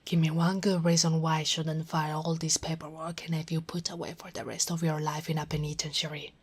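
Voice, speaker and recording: A woman from Italy playing a smug, flirtatious sheriff in an ASMR roleplay, with sultry, teasing delivery that drops into breathy whispers. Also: low-pitched, flat delivery, brisk. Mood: mocking, threatening. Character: teasing, smug, flirtatious female sheriff gloating over captive. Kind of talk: ASMR roleplay, scripted acting.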